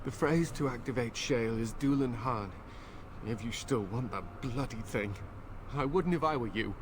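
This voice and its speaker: A man's voice: mid-range voice, normal speed, background noise, natural voice. An actor playing a grateful, protective and wary father in a fantasy video game.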